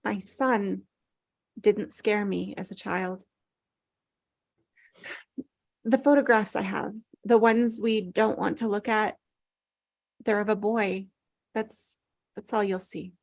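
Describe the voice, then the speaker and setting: Actress as halting, grieving older mother, close dry sound. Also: mid-range voice, steady tone, gravelly, no room sound. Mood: pained, guarded. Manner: halting, searching for words. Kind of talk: scripted drama table read.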